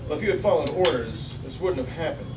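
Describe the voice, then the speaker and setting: A man's voice: fairly high pitch, lively intonation, fast, natural voice. A man playing a stern, chauvinist space ranger captain, reading 1950s space serial dialogue at a table read. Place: busy restaurant table read. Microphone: distant camera microphone. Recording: echoey noisy restaurant, far from camera.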